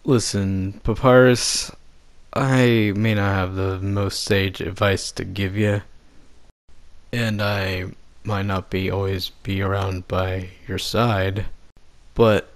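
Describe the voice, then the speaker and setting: A man's voice: low voice, level tone, slow pace, pitched below the performer's own voice. A man voicing a laid-back cartoon skeleton, a protective older brother. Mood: quietly menacing.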